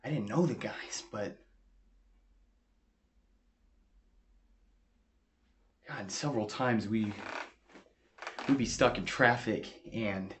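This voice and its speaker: A man playing a halting, troubled young American veteran. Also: lowish voice, noisy background, lightly acted. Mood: anxious, distressed. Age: young adult. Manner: halting, subdued. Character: traumatized young male war veteran, anxious and deferential. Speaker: actor as patient. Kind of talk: acted therapy interview. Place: small furnished room, indoors.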